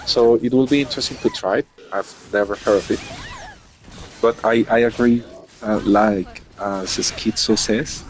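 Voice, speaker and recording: A man's voice: lowish voice, steady tone, measured pace, a little hiss or hum. A man commentating a fighting game tournament in English, which is not his first language, explaining tactics at length with frequent restarts. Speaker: esports commentator.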